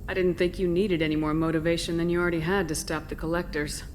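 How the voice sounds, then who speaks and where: A woman plays a composed game commander with a lowish voice and quick delivery. She is direct and matter-of-fact, with faint ambience behind her. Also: little pitch movement, a little mellow, slight background noise, close to an everyday voice. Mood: concerned, direct. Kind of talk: video game dialogue.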